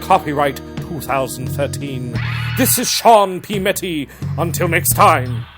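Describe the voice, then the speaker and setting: A man's voice: a little high, lively intonation, a little slow. A man announcing scripted closing credits for a horror radio drama. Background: loud plucked guitar music.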